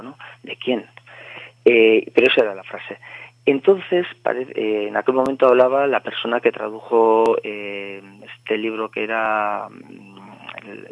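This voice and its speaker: A man's voice: on the low side, no room sound. Man on a tinny phone line. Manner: hesitant, many filler sounds, reflective. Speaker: literary translator. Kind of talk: conversational interview, anecdote telling.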